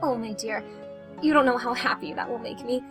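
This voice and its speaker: Woman playing a high-voiced, adoring young fiancee. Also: brisk, music in the background, lightly acted. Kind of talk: melodramatic old-time radio acting.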